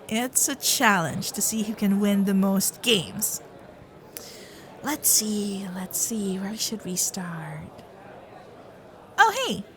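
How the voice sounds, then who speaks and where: Woman acting a teasing kitsune girlfriend, lively and unhurried. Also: on the high side.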